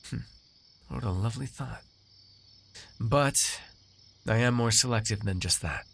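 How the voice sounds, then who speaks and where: Guy doing a smug fantasy lord for asmr roleplay, raspy, really animated pitch, posh wording, teasing and possessive. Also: full character voice. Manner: theatrical, drawling, formal diction, condescending. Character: haughty, teasing noble master, smooth-tongued and possessive.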